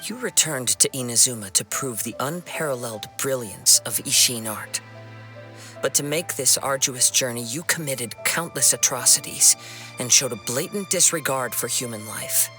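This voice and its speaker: A man voicing a calm, principled young wandering swordsman in a video game, with measured, earnest, formal delivery. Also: medium pitch. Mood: stern, reproachful. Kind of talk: dramatic video game dialogue. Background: music.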